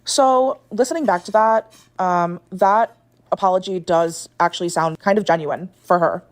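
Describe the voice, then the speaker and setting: A woman in her late twenties telling a personal story in a casual, measured, explanatory way. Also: lowish voice. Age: late 20s. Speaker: video storyteller.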